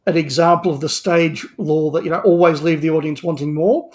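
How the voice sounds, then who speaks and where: A man with a fairly high-pitched voice talks as a guest on a review podcast. His delivery is conversational and informal. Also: fairly even intonation, a little fast. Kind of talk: casual review chat.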